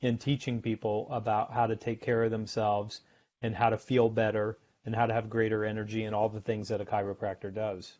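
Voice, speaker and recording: A middle-aged American man, a sales author and podcast guest, explaining his ideas in a measured way and often repeating words as he thinks. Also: on the low side, level tone, a little mellow. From United States. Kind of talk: podcast interview. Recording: dull lo-fi remote audio.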